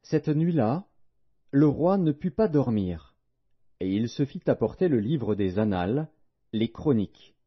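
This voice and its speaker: A man's voice: medium pitch, very animated, a little slow, breathy edge. A man narrating a Bible audiobook in a solemn, scripted reading style. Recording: dull, lo-fi audio.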